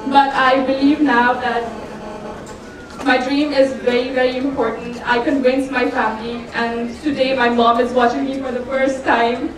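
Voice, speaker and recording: High-voiced young woman in a very echoey hall. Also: level tone, normal speed, a crowd in the background. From Qatar. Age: 20s. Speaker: beauty pageant contestant. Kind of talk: self-introduction on stage. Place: large indoor stage before an audience. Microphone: handheld microphone through speakers, heard from afar. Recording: echoey hall PA, distant pickup.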